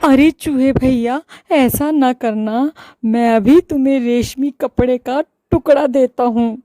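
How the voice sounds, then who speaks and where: A woman voicing a gruff male cloth shopkeeper in a children's story who brusquely shoos away a mouse. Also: lowish voice, takes it slowly, darkish tone. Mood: frightened. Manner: brusque shooing, turns fearful and pleading.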